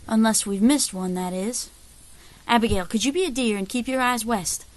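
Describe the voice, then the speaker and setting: A woman's voice: fairly high pitch, moderate pace, slight background noise, natural voice. A woman playing a shrewd, unflappable frontier scout leader in an audio drama, with a wry, persuasive drawl. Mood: urgent, controlled. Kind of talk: dramatic audio fiction acting.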